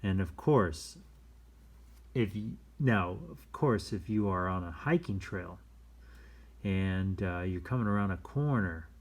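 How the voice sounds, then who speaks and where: Male storytime reader, low register, very unhurried, easygoing and chatty with explanatory asides. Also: animated. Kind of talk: casual read-aloud with chatty asides.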